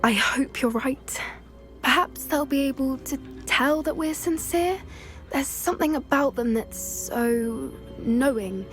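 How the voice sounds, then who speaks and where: An earnest young witch, speaking in a high voice. She talks gently and sincerely. Faint game music sits under her lines. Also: animated, slow, full character voice. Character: earnest young witch who loves creatures.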